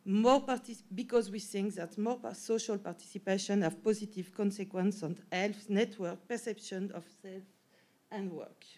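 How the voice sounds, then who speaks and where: A woman's voice: medium pitch, fairly even intonation, normal speed, dark tone. A woman with a French accent and French as her first language, giving an academic conference talk with frequent restarts and self-corrections. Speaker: professor and panel speaker.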